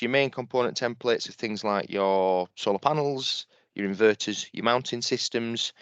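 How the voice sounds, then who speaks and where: A West Yorkshire man leads a webinar walkthrough of a software tool, chatting along with plenty of ums and repeated words. His voice sits low and smooth. The recording is dry and a bit dull, like online call audio. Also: no echo. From West Yorkshire, England. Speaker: head of sales presenting software.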